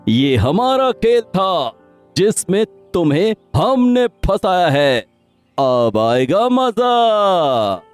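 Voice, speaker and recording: A man's voice: high-pitched, big pitch swings, very slow, clear, resonant tone, soft background music, full character voice. A man voicing a sinister, boastful sorcerer in a theatrical, gloating manner for a cartoon moral story. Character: sinister male sorcerer, gleefully scheming and boastful.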